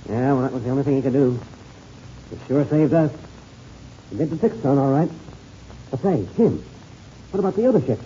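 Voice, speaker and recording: An actor playing a steady, plucky American adventure pilot with a brisk, commanding manner, in 1930s radio serial style. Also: male voice, mid-range voice, natural voice. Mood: pleased. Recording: muffled, noisy old radio recording.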